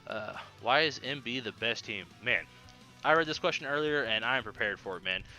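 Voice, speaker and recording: A man's voice: mid-range voice, expressive, brisk, pushed and bright, faint music behind. A young man hosting a casual podcast, answering questions in a slangy, rambling way with swearing and a slight occasional stutter. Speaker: podcast host.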